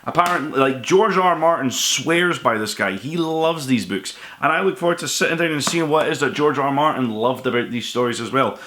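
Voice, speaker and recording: Male vlogger, medium pitch, fast and forward delivery, enthusiastic, rambling, casual chat. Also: lively intonation, pushed and bright, a little hiss or hum. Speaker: host of a book haul vlog.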